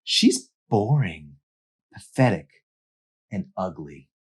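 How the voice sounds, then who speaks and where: A man's voice: deep voice, very animated, slow pace, slightly rough, pitched above the performer's own voice, heavily acted. A man voicing a cruel teenage mean girl from a novel, mocking and sneering. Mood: mocking cruelty.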